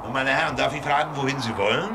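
An actor playing a determined courier in a radio play, with a resolute, formal way of talking. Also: male voice, medium pitch, quick pace, noisy background, natural voice. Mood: courteous, resolute. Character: determined young courier posing as a merchant. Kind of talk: German radio play acting.

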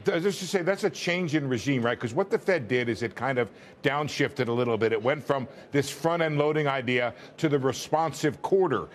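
A middle-aged man commentating in a live TV debate over a remote link, arguing his point in a measured, conversational way. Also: medium pitch. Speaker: news commentator. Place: indoor room.

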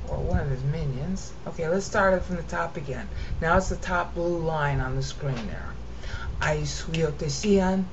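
American woman, low voice, casual Bible teacher, half explains, half chants; muffled noisy computer-mic recording. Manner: explains then chants text in rhythmic cadence. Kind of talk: screen-share lesson with chanted reading. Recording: dull lo-fi audio with steady noise.

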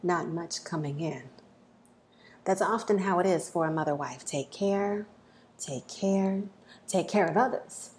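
A woman in her thirties performing a spoken word poem at home, slow and measured with dramatic pauses, sometimes dropping to soft, breathy near-whispers, recorded on a wired earphone mic. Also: on the low side, slight background noise. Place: small room at home, indoors.

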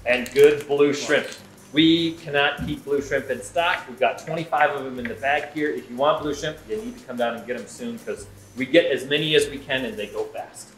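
A man's voice: mid-range voice, normal speed, a bit bright. Guy hosting a casual shop unboxing, chatty and into it, echoey room, bit of music behind. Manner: enthusiastic, chatty. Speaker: aquarium shop host. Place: indoors in an aquarium shop.

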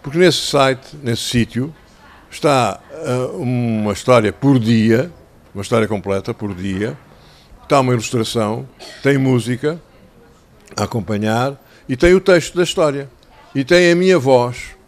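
An older man with a European Portuguese accent speaks to a group of children in the manner of a storyteller. His voice is mid-range and a little hoarse. He is conversational and instructive, often repeating a phrase to make it stick, and speaks into a handheld microphone. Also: medium pitch, unhurried. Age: 60s or older. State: slightly hoarse. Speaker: children's author. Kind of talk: talking and telling stories to schoolchildren. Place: covered outdoor tent in a town square.

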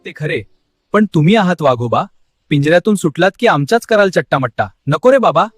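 A kindly but wary woodcutter played by a man from an animated fable. He sounds husky and slightly high-pitched. Soft music runs behind his words. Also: a little fast, no room sound.

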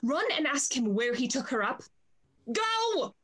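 A woman's voice: high voice, sing-song, measured pace, fairly bright tone, exaggerated voice. A young woman playing a jealous, haughty lady in a theatrical, indignant manner for a Restoration stage comedy. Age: young adult. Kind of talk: Restoration comedy stage acting over video call. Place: small room at home. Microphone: video call.

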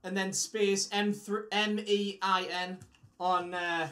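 A man's voice: sits high, little pitch movement, slow pace, pushed and bright. A British man in his twenties chatting casually with strangers as a magician. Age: 20s. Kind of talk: casual chat with strangers on video. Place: small room at home.

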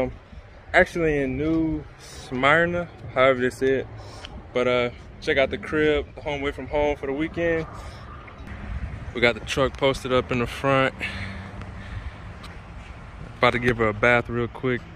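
A man's voice: mid-range voice, a bit bright. A young man talking casually to his viewers as a vlogger, with a laid-back, informal way of speaking. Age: young adult. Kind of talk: casual vlog talk to viewers. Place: outdoors, at a gas station and on streets. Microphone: phone held at arm's length. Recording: outdoor phone recording with vehicle noise.

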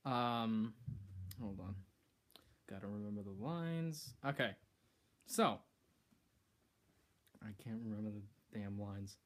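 A teenage boy hosting a comedic skit in a living room, bossy and exasperated, bursting into shouts, recorded on a camera mic a few feet away. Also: on the low side, slow, pauses often. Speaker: host. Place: decorated living room indoors.

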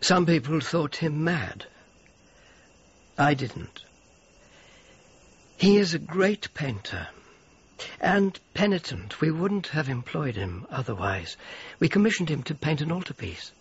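An actor playing a grave, measured Dominican friar with a husky voice in a radio drama. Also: male voice, a little high, very animated, full character voice. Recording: slightly dull broadcast sound.

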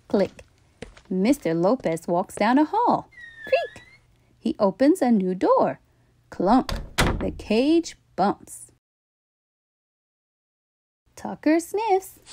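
A woman reading a children's story aloud with expressive, deliberate, emphatic phrasing. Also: fairly high pitch.

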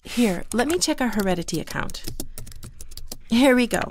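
A woman's voice: a little high, expressive, moderate pace, slight background noise. A woman playing a caring, worried Puerto Rican mother in an audio drama. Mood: warm, matter-of-fact.

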